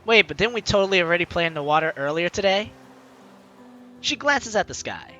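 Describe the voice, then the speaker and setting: Animated, high-pitched, bright-voiced man hosting a let's-play. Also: fairly quick, lo-fi sound, faint music behind. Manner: playful, teasing, exclamatory, talks to the audience. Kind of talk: reading game text aloud with excited commentary.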